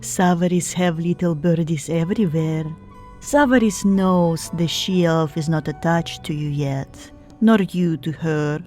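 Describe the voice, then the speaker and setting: A woman's voice: lowish voice, unhurried, mellow, lightly acted. A woman voicing a flirtatious, short-tempered harpy queen for an audiobook, purring in broken English. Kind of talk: fan audiobook character acting. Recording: background music under the voice.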